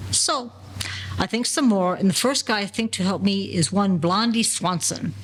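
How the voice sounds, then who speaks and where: A woman playing an aging male gangster safecracker in an audio play, telling stories in slangy, mock-formal 1940s style with quiet menace. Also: medium pitch, slight background noise, close to an everyday voice, rough recording.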